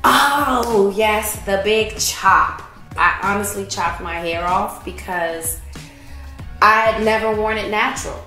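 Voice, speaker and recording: A woman's voice: mid-range voice, takes it slowly, sharp tone, reverberant, faint music behind. A woman talking casually and enthusiastically to camera about her hairstyles. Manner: bubbly, enthusiastic. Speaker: actress sharing hair tips.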